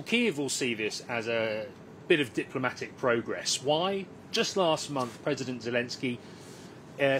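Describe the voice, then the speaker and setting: A man reporting as a news correspondent, explaining developments in a broadcast report. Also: a bit bright. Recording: clear background rumble and noise.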